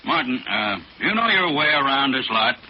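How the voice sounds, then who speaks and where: A dull old radio recording with faint noise carries a man playing a gruff police lieutenant. He speaks bluntly in clipped lines at middling pitch, suspicious and no-nonsense. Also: big pitch swings, moderate pace, lightly acted. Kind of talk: 1940s radio drama acting. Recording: muffled, hissy 1940s radio recording.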